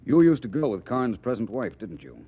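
An actor playing a suave, politely probing private detective, precise and dryly pointed, in 1940s radio style. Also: male voice, mid-range voice, very animated, brisk, breathy edge. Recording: muffled old 1940s radio recording.

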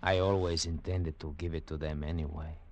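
Casting: courteous archaeologist, very deep voice, formal and measured, old radio drama. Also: male voice, steady tone, darkish tone, dull audio. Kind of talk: 1950s radio drama acting.

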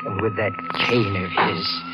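A man's voice: mid-range voice, huge pitch swings, measured pace, rough recording. A tormented young man bares a murderous conscience in a 1940s thriller. His delivery is intense and overwrought, with prominent music running behind him on an old recording. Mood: brooding confession. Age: young adult. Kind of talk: 1940s radio acting.

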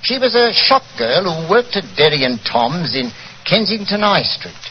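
An actor playing an earnest, anxious London police inspector with an English accent in a 1940s radio drama. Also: male voice, sits high, sing-song, normal speed. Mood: earnest, reporting. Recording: dull 1940s radio broadcast with hiss.

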